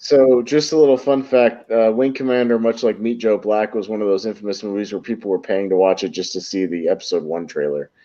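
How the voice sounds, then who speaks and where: Man, deep pitch, casual panelist, blunt talk, lo-fi dull sound. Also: fairly even intonation, fairly quick, few pauses, mellow. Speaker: panelist on a movie tournament show. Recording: dull, lo-fi audio.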